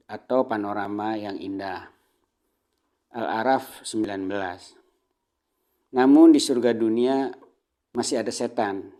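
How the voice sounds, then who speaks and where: Measured Indonesian man lecturing, mellow voice. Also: on the low side. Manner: measured, didactic, phrase-by-phrase delivery with frequent throat clearing. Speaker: religious lecturer. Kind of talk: Islamic sermon, delivered as a prepared lecture.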